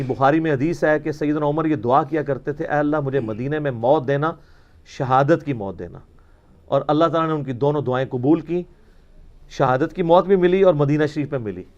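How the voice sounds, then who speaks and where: A man's voice: fairly high pitch, dull audio. A man delivering an argumentative religious lecture in a mocking, rhetorical way, quoting narrations as he goes. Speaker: religious teacher answering questions.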